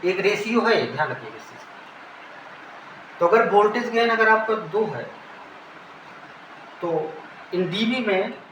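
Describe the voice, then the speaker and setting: A high-voiced Indian man teaches in an informal lecture style. He explains step by step and often rephrases his points. The recording is echoey, with faint noise behind him. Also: lively intonation, slow pace, pauses often, fairly bright tone. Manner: didactic, repeats and rephrases phrases.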